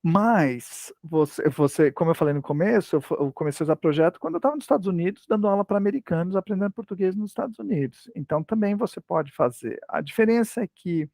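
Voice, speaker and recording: A man's fairly high voice with a gravelly fry runs through his answers, recorded dry and close. He explains teaching approaches conversationally, hesitating and restarting his sentences on a video call. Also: darkish tone, no room sound. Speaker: language teaching lecturer. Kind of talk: academic talk, casual explanation.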